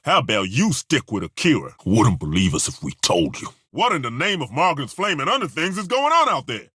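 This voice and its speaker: A man voicing a gruff old male dwarf companion in a game, blunt and sardonic, with a dry, plain-spoken manner and frequent sighs. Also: mid-range voice, sharp tone, no room sound, full character voice. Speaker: companion character. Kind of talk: game character voice lines.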